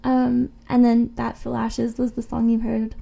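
A woman's voice: fairly high pitch, completely flat delivery, a little slow, vocal fry, mellow. A woman co-hosting a radio show, chatting casually and informally about music between songs.

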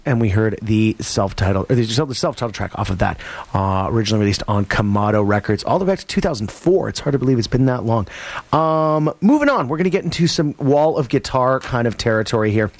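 A man's voice: medium pitch, dry recording. A man hosting a late-night college radio show, back-announcing tracks in a laid-back, rambling way with lots of fillers. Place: radio studio.

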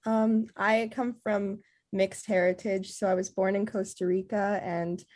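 A woman's voice: mid-range voice, little pitch movement, measured pace, darkish tone. A woman from Canada, a theatre artist talking earnestly and reflectively in an interview, often filling with "like". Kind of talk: casual interview talk.

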